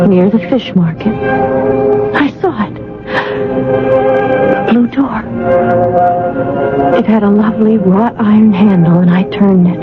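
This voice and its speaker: A woman's voice: mid-range voice, natural voice. An actress playing a lovesick, dreamy young woman in a radio drama, breathless and pleading. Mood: dreamy, dazed. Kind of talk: radio drama acting with narration. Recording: tinny old radio recording with music.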